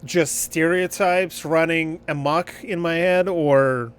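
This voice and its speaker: A male game streamer talks in a smooth, slightly high voice. He takes his time as he chats casually with his viewers, blunt and joking, with swearing mixed in. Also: fairly even intonation, slow pace. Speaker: game livestreamer. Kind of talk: casual livestream chat while gaming.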